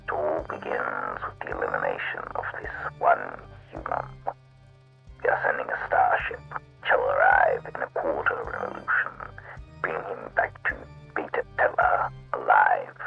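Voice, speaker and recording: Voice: lively intonation, a little slow, muffled recording, faint music behind, full character voice. An actor voicing a menacing, imperious alien leader in a radio play, grandiose and commanding. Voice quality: very deep, gravelly croak. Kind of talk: radio play villain acting.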